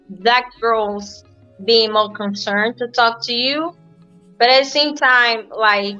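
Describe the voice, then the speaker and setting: Brief: Brazilian woman, Brazilian Portuguese accent, bright and fairly high voice, dry dull lo-fi sound. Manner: earnest, explains point by point with repeats. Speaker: guest, lawyer. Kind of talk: casual interview answers.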